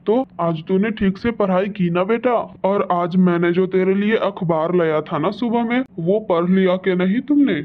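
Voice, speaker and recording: A man's voice: high-pitched, moderate pace, few pauses, narrow, tinny audio, slight background noise, lightly acted. A man voicing a weary, humble, debt-ridden cartoon father bird who speaks in an earnest, pleading way. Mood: fond, encouraging. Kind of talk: Hindi cartoon voice acting.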